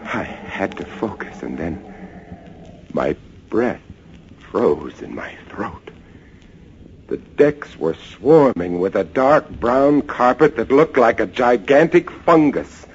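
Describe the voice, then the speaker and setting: A man's voice: lowish voice, takes it slowly, husky, exaggerated voice. A man acting a frightened lighthouse keeper who narrates a sea horror tale in an intense, suspenseful old radio style. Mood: grave, awed. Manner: intense, suspenseful, swinging from hushed to excited. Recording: muffled, hissy old radio drama recording.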